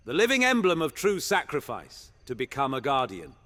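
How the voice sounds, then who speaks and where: A man's voice: mid-range voice, huge pitch swings, normal speed, pushed and bright. A man playing a roguish, irreverent monster hunter knight in a fantasy audio roleplay, talking in a theatrical, conspiratorial way. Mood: reverent, proud. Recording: long hall reverb under music.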